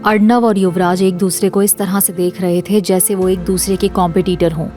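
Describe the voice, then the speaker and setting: A female narrator with a slightly low voice reads a scripted drama. Her delivery is that of a storyteller, with faint music underneath. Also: fairly even intonation, moderate pace, mellow.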